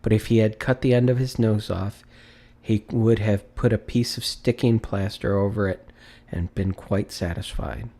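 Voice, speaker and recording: A man's voice: lowish voice, steady tone, normal speed, mellow. A man reading a classic story aloud as an audiobook narrator, in a dramatic storybook style.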